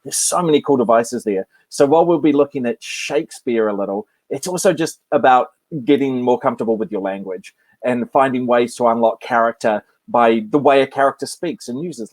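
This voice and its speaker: A man talks about his improv class with eager energy and keeps slipping in self-mocking jokes. His voice sits at a middling pitch. The sound is dry and close, coming through a video call. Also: a little fast, a little mellow, no echo. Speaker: improv instructor.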